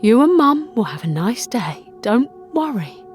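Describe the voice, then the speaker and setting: A woman voicing a gently reassuring, evasive father talking softly to his young daughter in an audio drama. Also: a little high, very animated, measured pace, soft background music. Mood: sad, apologetic.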